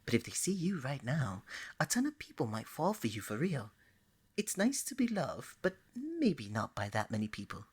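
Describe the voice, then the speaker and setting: Casting: woman as a lovestruck deity of romance for ASMR roleplay, very low and breathy, flirty, coaxing, scatterbrained. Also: sing-song, moderate pace, full character voice. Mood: affectionate, explaining. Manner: playful, coaxing, bubbly and hesitant by turns.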